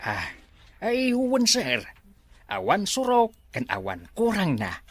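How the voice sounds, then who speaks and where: An actor playing a hesitant, deferential male resort worker who speaks haltingly in an Ilocano radio drama. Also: high-pitched, wildly swooping pitch, no room sound, soft background music, full character voice.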